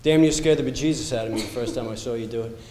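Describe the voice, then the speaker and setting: A man's voice: medium pitch, rapid-fire, warm tone, natural voice. A man on stage playing an earnest, loving parent in a play. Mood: fond, animated. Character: earnest, loving parent advising grown daughter. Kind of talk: live stage play dialogue. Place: theatre stage, recorded from a distance. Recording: echoey hall, faint noise.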